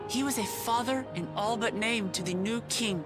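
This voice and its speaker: An actress playing a gentle, sincere young woman adventurer in a fantasy game, speaking in a measured, consoling way. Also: a little high, over music, lightly acted. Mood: sincere, grateful.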